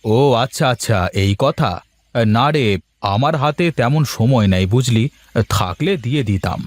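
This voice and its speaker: A man playing a gruff, busy farmer, the older brother in a village cartoon. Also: medium pitch, animated, moderate pace, dry recording, close to an everyday voice. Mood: dismissive. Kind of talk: Bengali moral cartoon dubbing.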